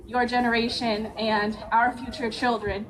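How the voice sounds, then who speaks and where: A young woman gives an earnest personal speech at a community gathering. Her voice is fairly high but quite flat in its melody, and she fills pauses with soft ums. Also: a crowd in the background, poor audio. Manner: earnest, steady, with frequent ums. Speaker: nonprofit vice president, guest speaker.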